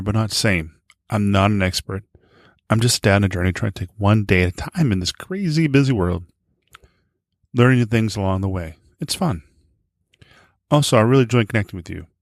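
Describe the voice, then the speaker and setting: Low-voiced man hosting a podcast, dry sound. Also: no echo. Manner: informal, rambling, rephrases himself. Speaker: podcast host. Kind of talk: casual podcast introduction and interviewing.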